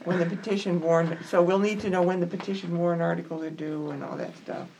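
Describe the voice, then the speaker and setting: A woman's voice: lowish voice, fairly even intonation, quick pace. A woman chairing a committee meeting, direct and businesslike. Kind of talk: running a meeting. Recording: distant mic with faint noise.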